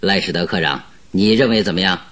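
Low-voiced, commanding private detective. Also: male voice, quick pace, a bit bright. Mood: composed, confiding. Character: composed, shrewd male private detective in command. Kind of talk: Mandarin radio drama acting.

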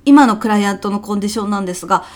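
A woman's voice: mid-range voice, very fast, a little mellow, close to an everyday voice. A woman playing a polite, dutiful office employee briefing her boss in a comedic audio drama, with a businesslike, deferential manner. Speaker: former stage troupe actress.

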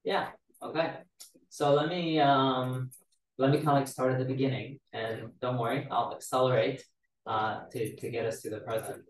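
A man, telling his life story in a relaxed way on a podcast. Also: mid-range voice, normal speed. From Israel. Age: 40s. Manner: relaxed, storytelling, many "uh" fillers. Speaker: guest. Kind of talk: casual podcast interview. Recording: roomy, distant mic with faint noise.

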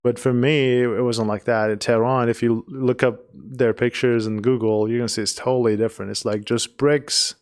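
A man's voice: medium pitch, flat delivery. Earnest Iranian-born man, podcast host. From Iran. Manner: earnest, pauses and restarts often. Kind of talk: casual podcast conversation.

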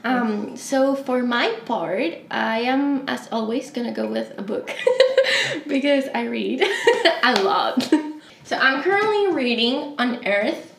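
A young woman from Chile hosting a podcast in a casual, friendly, unhurried way with some fillers. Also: on the high side, gravelly, echoey room, slight background noise. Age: young adult. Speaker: podcast host.

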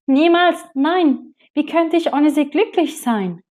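A woman's voice: lowish voice, slow pace. Woman doing a proud rainbow fish. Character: proud beautiful fish, vain then kind.

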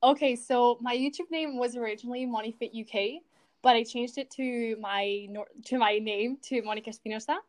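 A woman's voice: a little high, fairly even intonation, fairly quick. Young woman with a shifting Scottish-American accent. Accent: Scottish mixed with American. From Spain and Scotland. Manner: chatty, informal. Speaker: podcast guest, video creator. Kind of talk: casual chat.

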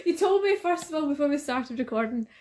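A woman's voice: high voice, animated, fast talker, a little mellow. A Scottish woman in her thirties co-hosting a film podcast in casual chat, teasing and laughing often. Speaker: podcast co-host.

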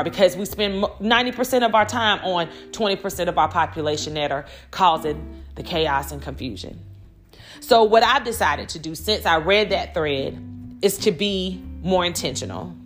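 A woman's voice: lowish voice, fairly bright tone. An American woman hosting a podcast in a conversational, reflective way, speaking directly to listeners. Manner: reflective, addresses listeners directly with rhetorical questions. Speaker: podcast host and school instructional coach. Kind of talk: conversational solo podcast monologue.

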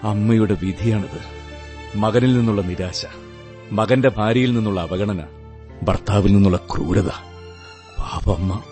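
An actor in a Malayalam radio drama playing a resigned, bitter husband and son with a measured, melancholy delivery. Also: male voice, on the low side, dark tone, soft background music, lightly acted. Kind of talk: Malayalam radio play acting.

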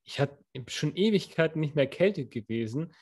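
A man's voice: on the high side, animated, brisk, darkish tone, husky. A young man co-hosting a podcast, talking in a loose, rambling way with many fillers and restarts. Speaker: podcast co-host. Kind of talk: casual chat.

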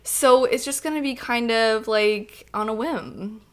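Young woman host with a bright, clear voice, easygoing and playful. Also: fairly high pitch, a little slow. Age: 20s. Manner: relaxed, playful. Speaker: podcast host. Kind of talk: casual chat.

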